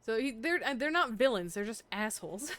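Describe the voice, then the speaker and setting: A woman's voice: a little high, big pitch swings, fairly quick, a bit bright. A young adult woman streaming a game, chatting casually in a playful, teasing way and laughing often.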